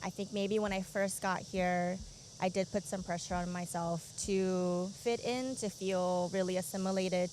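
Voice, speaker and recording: A woman speaking thoughtfully and in measured words in a street interview. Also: lowish voice, a little mellow, a little hiss or hum.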